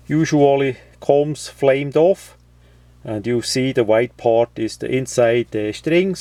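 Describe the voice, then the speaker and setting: Slow-talking man giving a tutorial. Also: medium pitch, darkish tone, no echo. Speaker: presenter of a how-to video. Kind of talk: unscripted step-by-step tutorial talk.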